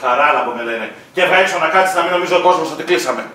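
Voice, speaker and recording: Guy doing a bright sweet-talking club owner husband, echoey and far from the mic. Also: fairly high pitch. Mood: teasing. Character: nightclub owner husband, coaxing and pushy. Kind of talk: comedy sketch acting.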